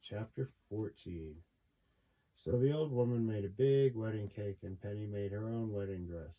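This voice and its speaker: Low mellow guy reading a kids story, kinda wry side comments, muffled recording. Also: normal speed. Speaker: host reading a children's book aloud with commentary. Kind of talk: casual storybook reading with asides.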